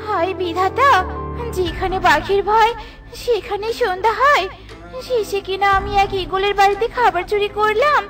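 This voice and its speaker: A woman's voice: very high voice, moderate pace, music in the background. A woman voicing a lazy, selfish, greedy female crow in a cartoon, with a brusque, scheming manner. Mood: panicked. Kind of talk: Bengali cartoon voice acting.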